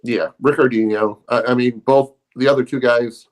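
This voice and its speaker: A man co-hosting a casual sports podcast over a remote call, laid-back and halting, with many pauses and fillers. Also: medium pitch, steady tone. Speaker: podcast co-host.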